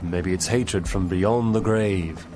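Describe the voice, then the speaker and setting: A man's voice: deep voice, slow pace, darkish tone, slight background noise. An actor playing a sarcastic male sidekick in a video game, with a dry, droll delivery.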